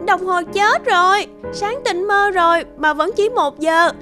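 A woman voicing a bright, chirpy young child in a cartoon, with music behind. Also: very high-pitched, measured pace, heavily acted. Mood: surprised, urging. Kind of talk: animated fairy-tale cartoon dubbing.

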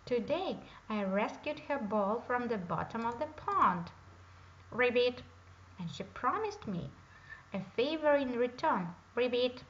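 A woman voicing a polite, gentle male bullfrog who speaks formally, in a dull lo-fi recording. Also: on the high side, lively intonation, measured pace, a little hiss or hum. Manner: courteous, formal.

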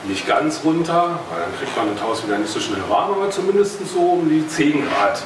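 A man's voice: on the high side, big pitch swings, normal speed. A man in his thirties with a Berlin accent gives a loose, chatty hobby presentation, standing and moving about in a meeting room. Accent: Berlin German. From Berlin, Germany. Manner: loose and chatty, with filler words and small jokes. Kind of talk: casual technical presentation. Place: meeting room with projector screen, indoors. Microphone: far camera across the room. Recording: very echoey room, far from the mic, noisy.

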